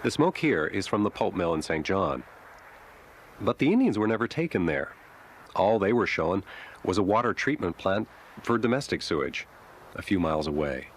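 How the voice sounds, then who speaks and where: A man reading scripted documentary narration with a husky voice. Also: sits low, very animated, moderate pace.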